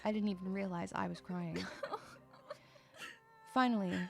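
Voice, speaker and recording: A woman playing an irritable, sarcastic, troubled young female loner, narrating a first-person audio drama with expressive, sighing delivery. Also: mid-range voice, unhurried, creaky, faint music behind. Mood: sobbing.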